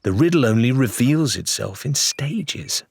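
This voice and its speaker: A man voicing a wary, quick-witted woman adventurer in an audiobook. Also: mid-range voice, expressive, creaky, a little mellow, husky, dry recording, clean studio sound, pitched above the performer's own voice, full character voice. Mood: realizing. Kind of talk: audiobook character voice.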